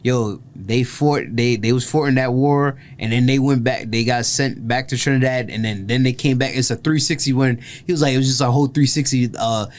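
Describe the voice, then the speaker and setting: A man's voice: medium pitch, fairly even intonation, brisk, few pauses. A man hosting a casual reaction chat, rambling and filling his speech with "like" and "yo". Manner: rambling, heavy with "like" and "yo", restarts often. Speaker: host.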